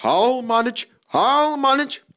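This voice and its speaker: A man voicing an old, gallant male steam engine in a storybook, speaking in loud, earnest exclamations and puffing as he goes. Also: mid-range voice, animated, slow pace, pitched below the performer's own voice. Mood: struggling bravely. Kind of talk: storybook character voice.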